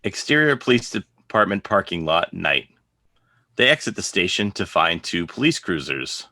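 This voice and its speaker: A man reading scripted stage directions as narrator, in measured, declarative sentences. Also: low voice, sing-song, pushed and bright, no room sound, studio quality.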